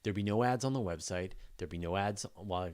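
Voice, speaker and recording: Guy with a lowish voice hosting a live q&a, casual, kinda thinking out loud as he goes. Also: fairly quick. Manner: informal, thinking aloud in broken phrases. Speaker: host of a space news channel.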